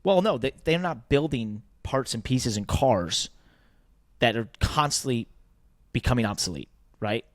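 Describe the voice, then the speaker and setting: A man's voice: medium pitch, animated, a little slow, dry recording, studio quality. A man co-hosting a podcast, explaining his points conversationally in a casual sports business discussion. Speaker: podcast co-host.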